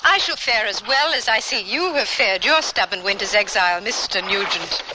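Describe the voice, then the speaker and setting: A woman's voice: medium pitch, normal speed, heavy background noise, close to an everyday voice. An actress playing an intrepid, proper Victorian lady traveler in a radio drama, speaking in formal literary phrases. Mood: resolute. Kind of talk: old-time radio drama acting and narration.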